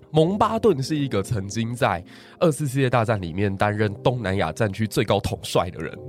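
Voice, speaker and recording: A man, a guest history teacher, telling stories in lively casual podcast chat and laughing often. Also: fairly low pitch. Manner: lively storytelling with frequent laughs.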